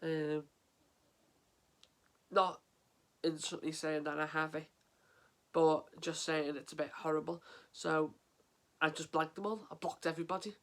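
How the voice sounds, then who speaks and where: Flat-voiced English man with a cold. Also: on the high side. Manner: halting and earnest, many fillers and restarts. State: stuffy with a head cold, sniffling. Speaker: mental health vlogger. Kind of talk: casual to-camera vlog.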